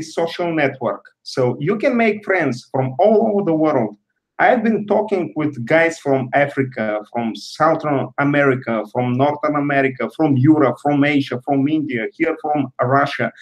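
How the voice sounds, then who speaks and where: A man from Bulgaria in his thirties, answering interview questions carefully with many pauses and fillers. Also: a little high, low-quality recording. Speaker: guest.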